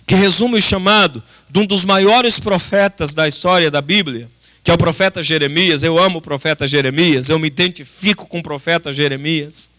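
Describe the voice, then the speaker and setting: A man's voice: a little high, moderate pace, sharp tone. A Brazilian man, a pastor, preaching an impassioned church sermon in an emphatic, dramatic manner with much repetition. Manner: emphatic, dramatic, with rhetorical repetition and direct address to the congregation. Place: church service.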